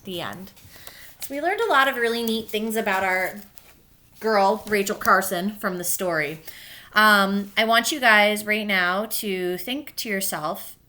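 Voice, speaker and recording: A woman's voice: medium pitch, measured pace, pushed and bright. A woman with a mid-range voice reads aloud as a teacher would to a class of young children. She moves between the text of the book and her own explanations, keeping her wording simple and speaking directly to her pupils.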